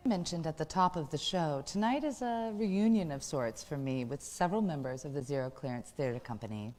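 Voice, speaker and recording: A woman's voice: sits low, lively intonation, fairly quick, darkish tone. A woman host giving a scripted introduction to a show. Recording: long hall reverb.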